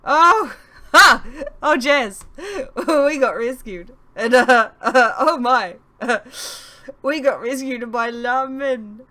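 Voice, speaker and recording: Woman acting a giddy, giggling young woman, high and bright. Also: extremely slow, heavily acted. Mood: hysterical giggling. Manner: breathless, exclaiming, giggly.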